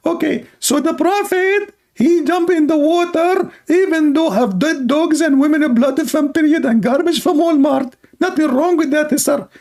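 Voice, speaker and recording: Man doing a shrill, drawn-out mocking preacher caricature. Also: a little mellow. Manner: high-pitched, slow, sing-song mocking voice.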